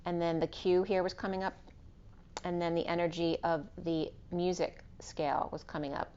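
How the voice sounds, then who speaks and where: Woman, tarot reader, voice going hoarse, low-pitched, muffled lo-fi sound. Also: level tone, normal speed, darkish tone. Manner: meandering, self-correcting, lists cards and numbers. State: losing her voice. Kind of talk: unscripted spiritual tarot reading.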